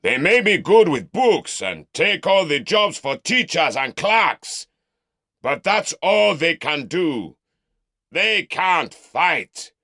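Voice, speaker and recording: Guy doing scornful old uncle yelling at a mob, high, loud, slow. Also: gravelly, dry recording, studio quality, full character voice. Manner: mocking, boastful shouting. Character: older man, scheming, loud and scornful.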